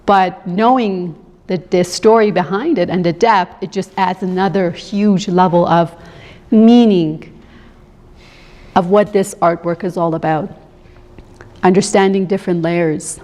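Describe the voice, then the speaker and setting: A woman's voice: medium pitch, a little mellow. Woman presenting in a reverberant hall. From grew up in Canada, born in Iran. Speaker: architecture instructor presenting student work. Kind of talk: conference workshop presentation. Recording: echoey hall, long reverb.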